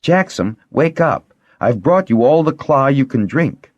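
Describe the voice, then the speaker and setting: A friendly but firm young woman, played by a male reader, brisk and quietly teasing. The voice sits raised above his narration, with lively melody. It is storybook dialogue in a dry recording. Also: medium pitch, no echo, muffled recording, voice pushed up. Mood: coaxing. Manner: firm, wry, matter-of-fact.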